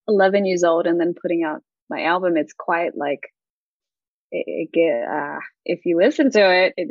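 A woman's voice: sits low, no room sound, muffled recording. A young woman with an Australian accent, a singer chatting in an interview, bubbly and rambling with lots of giggles.

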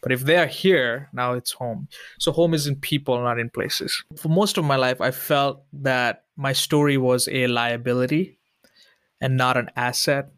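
An earnest man from Sri Lanka reflecting on his life as a podcast guest, circling back on phrases to stress them. His voice sits at an ordinary pitch and is recorded dry and close, with no room echo. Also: steady tone, a little slow, fairly bright tone, clear, resonant tone. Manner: earnest, searching, repeats phrases for emphasis. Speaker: interview guest. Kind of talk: personal reflective conversation.

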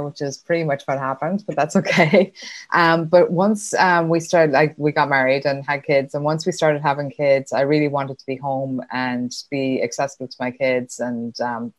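A woman's voice: deep voice, no room sound. A middle-aged Irish woman with an Irish accent, chatting casually as a podcast guest, laughing often and using lots of fillers. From Ireland. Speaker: podcast guest, sponsorship consultant. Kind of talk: casual interview chat.

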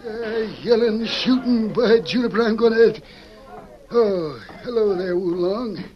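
A man's voice: high voice, measured pace. An actor playing an indignant old storekeeper in a radio western, a man with a crotchety, folksy American Western accent. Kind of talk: 1940s radio western acting. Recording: dull vintage radio recording.

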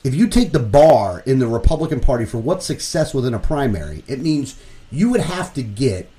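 A man co-hosting a political talk radio show, explaining his views in a casual, opinionated way and working through numbers out loud. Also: mid-range voice, very animated, brisk.

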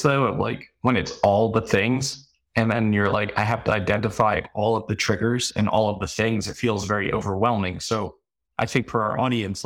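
Low-voiced man, hesitant co-host. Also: no echo. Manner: hesitant, filler-heavy talk. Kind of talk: casual podcast conversation.

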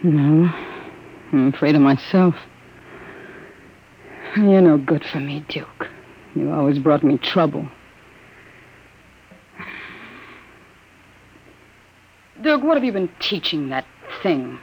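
A wary, world-weary dame in a vintage radio play. She speaks anxiously and melodramatically, with sweeping pitch. The aged recording is dull and muffled. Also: expressive, measured pace, long pauses, a little hiss or hum. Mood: wary, hushed. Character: anxious, world-weary woman, gangster's old flame, nursemaid. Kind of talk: old-time radio drama acting.

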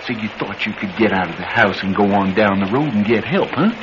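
An actor with a Southern US accent playing a cocky, menacing young escaped killer in a radio drama, folksy and taunting. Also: male voice, on the low side, lively intonation, fairly quick, a bit bright. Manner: folksy drawl, taunting and boastful. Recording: noisy, dull old radio drama recording.

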